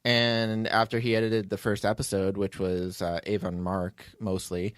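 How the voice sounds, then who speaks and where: A man's voice: on the low side, little pitch movement, moderate pace, clean tone, no room sound. A man hosting a podcast as its writer and producer, telling behind-the-scenes stories in a casual, rambling way.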